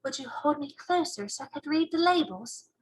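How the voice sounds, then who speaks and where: A woman voicing a curious, polite, excitable young girl in a children's storybook. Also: high voice, normal speed, pitched above the performer's own voice.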